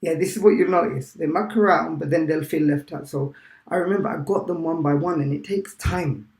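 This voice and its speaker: A man with a fairly high voice talks about teaching in a loose, animated way. He keeps tagging his points with "right?". The sound is roomy and echoey. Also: fairly quick. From United Kingdom. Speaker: teacher, guest. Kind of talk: casual interview chat. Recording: echoey room.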